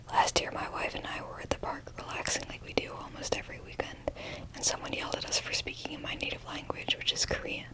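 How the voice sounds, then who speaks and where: Close whispering woman reading aloud. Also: rough recording. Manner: whispered, wry asides between read posts. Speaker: ASMR reader. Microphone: close-up mic.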